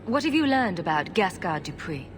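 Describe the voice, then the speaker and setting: Calm, diplomatic game heroine. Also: female voice, on the low side, moderate pace, a little hiss or hum. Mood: calm, concerned. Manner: composed, tactful, direct. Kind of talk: video game dialogue acting.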